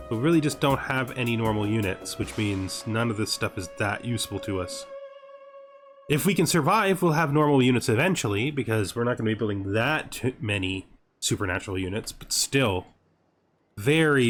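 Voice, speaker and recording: A man giving gaming commentary as a series host, thinking aloud as he plays. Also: mid-range voice. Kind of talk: gaming commentary with scripted intro narration. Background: background music.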